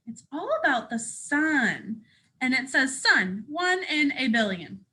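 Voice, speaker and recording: A woman teacher reading aloud to young children, explaining things simply in an animated way. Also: high voice, slow pace, rough recording. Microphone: speaking to a hand-adjusted camera.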